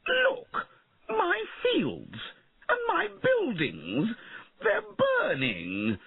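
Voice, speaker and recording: Storybook villain: angry rich landowner, high panicky bellow, wildly swooping, thin phone-like sound. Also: male voice, huge pitch swings, gravelly, full character voice. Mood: panicked. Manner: shrieking, blustering, drawn-out cries.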